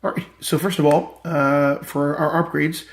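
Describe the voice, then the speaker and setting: A man's voice: a little high, level tone, unhurried. A man casually walking through a card game deck, enthusiastic and rambling with many fillers, his voice still recovering from illness.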